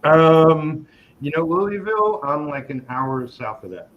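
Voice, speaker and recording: A man's voice: a little high, very animated, a little slow. A man from New York hosting a casual interview chat, talking hesitantly with many ums and restarts. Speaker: podcast host. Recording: dull lo-fi sound with faint noise.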